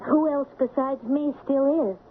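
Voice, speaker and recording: A woman's voice: high-pitched, a little slow. An actress playing an earnest, anxious young woman, questioning and hesitant, in an old radio drama with tinny, noisy sound. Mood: anxious, protesting. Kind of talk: 1970s radio drama acting.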